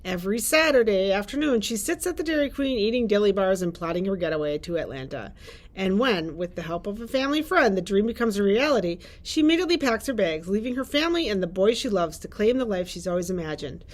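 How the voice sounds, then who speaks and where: A woman's voice: medium pitch, lively intonation, quick pace. A woman librarian co-hosting a book talk, reading prepared summaries aloud and chatting lightly. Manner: reads prepared blurbs aloud. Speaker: librarian host.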